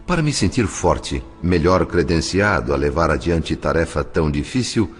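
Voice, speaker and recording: A man's voice: low voice, slightly rough, full character voice. A man with a Brazilian accent playing a solemn, compassionate, fatherly spirit who narrates an audio drama.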